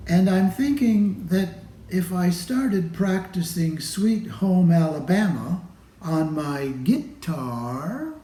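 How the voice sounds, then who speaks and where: A man reading a children's picture book aloud in a deliberate manner, pausing between phrases. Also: high voice, warm tone. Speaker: storybook reader. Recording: roomy, echoey room sound.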